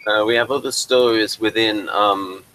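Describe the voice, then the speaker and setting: A man's voice: fairly low pitch, steady tone, moderate pace, fairly bright tone, low-quality recording. A man hosting a casual interview, rambling and chatty and addressing viewers as folks. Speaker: host and playwright.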